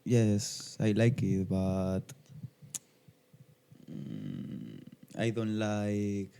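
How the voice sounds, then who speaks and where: Slow, dark-voiced young man. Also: lowish voice, very animated, creaky, clear, resonant tone. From Spain. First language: Spanish. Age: 20s. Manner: hesitant, brief answers. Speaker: guest.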